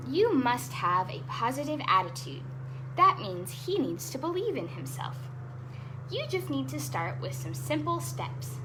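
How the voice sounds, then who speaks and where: A woman's voice: fairly high pitch, background noise. A woman hosting a children's storytime, reading aloud expressively with chatty asides and explaining words simply for young listeners.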